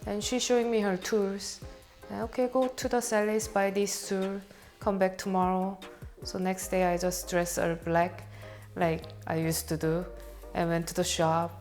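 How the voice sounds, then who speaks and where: A woman speaking English as a second language with a Korean accent, telling her story as a barber in a documentary interview, in halting phrasing and laughing at herself. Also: medium pitch, little pitch movement, a little mellow, soft background music.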